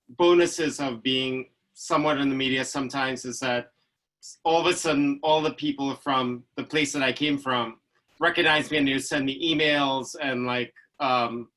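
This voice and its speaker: Trinidadian-accented male doctor, middle-aged, bright tone, chatty anecdotal delivery. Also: medium pitch, low-quality recording. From Trinidad and Tobago. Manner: chatty, storytelling with fillers like "like" and "you know". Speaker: infectious disease physician guest. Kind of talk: relaxed anecdotal conversation.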